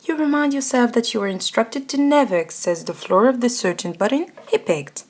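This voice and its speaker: A woman's voice: on the high side, a little fast. A woman narrating a scripted ASMR roleplay story, addressing the listener directly. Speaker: narrator and voice actor.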